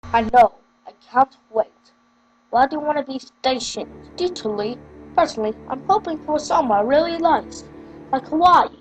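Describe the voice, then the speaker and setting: An eager young army trainee voiced by a woman chats hopefully in an amateur radio play. The voice is very high, with music behind. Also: normal speed, close to an everyday voice, low-quality recording. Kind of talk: amateur radio drama acting.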